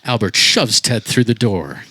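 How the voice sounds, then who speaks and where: A man's voice: fairly low pitch, animated, measured pace, very noisy. A man reading scripted stage directions as the narrator of a comedy, in a matter-of-fact way. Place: backyard, live performance.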